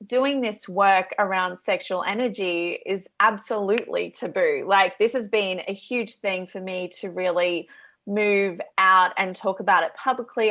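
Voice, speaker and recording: A woman's voice: mid-range voice, fairly bright tone. Earnest Australian woman explaining eagerly. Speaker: guest, women's coach. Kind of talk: radio interview talk.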